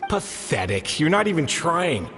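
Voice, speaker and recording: A man's voice: on the high side, big pitch swings, measured pace, fairly bright tone, faint music behind, heavily acted. A man voicing a harsh, scornful taskmaster in a video game, cutting and contemptuous. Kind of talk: video game character acting. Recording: long echoing reverb.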